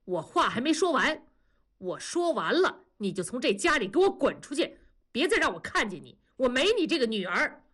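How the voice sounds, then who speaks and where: A woman's voice: a little high, very animated, moderate pace, fairly bright tone, husky, pitched above the performer's own voice, full character voice. A woman narrator voicing a stern middle-aged father, furious, curt and commanding.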